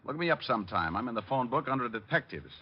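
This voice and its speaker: An actor playing a breezy, wisecracking private detective in 1940s radio style, flippant and deadpan. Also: male voice, medium pitch, fast, lightly acted. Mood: mocking. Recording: muffled old radio recording with music.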